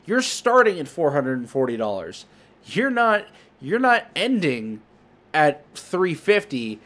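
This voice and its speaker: A man's voice: fairly high pitch, sing-song, slow, a bit bright. A man hosting a trading card market video, chatting informally to viewers with emphasis on key words. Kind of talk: casual commentary to viewers.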